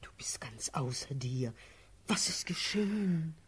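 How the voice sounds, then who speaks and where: A woman acting a grave, motherly noble aunt in a classical verse radio drama, solemn and measured, with a breathy voice. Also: low-pitched, expressive, heavily acted. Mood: alarmed.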